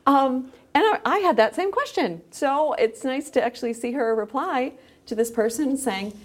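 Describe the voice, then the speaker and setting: A woman's voice: sits high, animated, normal speed. A woman speaking as a podcast guest in a thoughtful, conversational interview style, feeling her way through her phrases.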